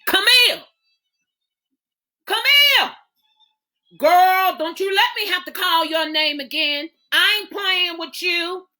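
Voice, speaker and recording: A woman's voice: very high-pitched, steady tone, measured pace, few pauses, bright, forceful tone, voice pushed up, exaggerated voice. A woman voicing a stern churchgoing mother of five daughters who scolds in a folksy, commanding way. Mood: scolding.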